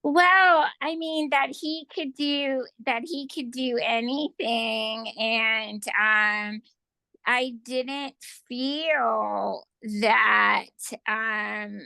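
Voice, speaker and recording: A woman guest in a casual interview who shares reflective stories, often pausing with fillers. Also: on the high side, steady tone, creaky, pushed and bright, dry recording. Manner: reflective storyteller, lots of fillers.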